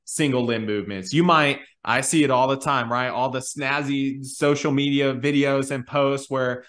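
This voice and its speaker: A man's voice: medium pitch, fairly even intonation, normal speed, a bit bright. A man in his thirties hosting a conversational podcast on a video call, explaining his points with emphasis and rephrasing as he goes. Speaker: podcast host, fitness coach.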